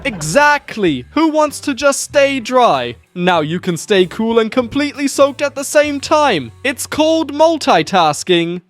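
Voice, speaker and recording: A man's voice: very high voice, very animated, unhurried, few pauses, no echo, faint music behind, full character voice. A man voicing a boastful, eccentric mad-scientist puppet inventor in a theatrical way for a children's comedy show. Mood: cheerful showmanship.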